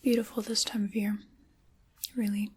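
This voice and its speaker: A woman playing a sinister, chaos-loving dark witch in a whispered, theatrical ASMR roleplay, soft-spoken and close to the microphone. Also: mid-range voice, level tone, normal speed, breathy edge. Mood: wry, musing.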